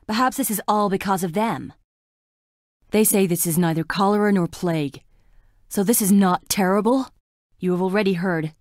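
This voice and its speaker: A woman's voice: mid-range voice, moderate pace, pauses often, no echo, clean studio sound, natural voice. An actress playing a frail, melancholy young woman in a plague-stricken town, delivering dramatic video game lines in a wistful, languid, theatrical way. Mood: earnest, uneasy.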